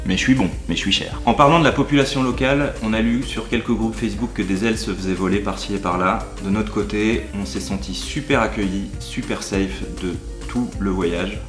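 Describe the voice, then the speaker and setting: A man's voice: on the low side, a little fast, hardly any pauses. A man giving upbeat, chatty travel advice in a vlog voice-over. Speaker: travel vlogger. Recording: roomy sound over background music.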